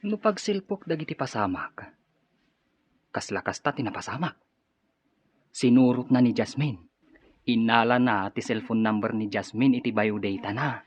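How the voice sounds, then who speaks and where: A man's voice: mid-range voice, animated, normal speed, pauses often, breathy edge. A man acting as a gossiping, insinuating male office informant in an Ilocano radio drama.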